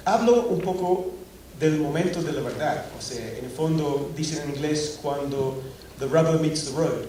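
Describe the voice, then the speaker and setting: Halting man speaking in a big echoey hall. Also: a little high, a little mellow, far from the mic, a little hiss or hum. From Scotland. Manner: hesitant, many fillers and restarts. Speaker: conference speaker. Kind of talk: technical conference talk. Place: large auditorium. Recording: echoey hall recorded from afar.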